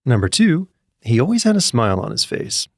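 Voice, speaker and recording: A man narrating a scripted comic story in an animated, wry way. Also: mid-range voice, a little fast, creaky, no room sound, studio quality. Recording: music behind the voice. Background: background music.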